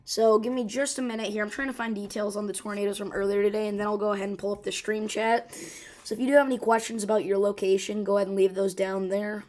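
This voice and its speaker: A woman hosting a live severe weather stream, her voice hoarse and rough, talking urgently and informally with many fillers and restarts. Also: medium pitch, steady tone, fast, darkish tone. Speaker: severe weather livestream host.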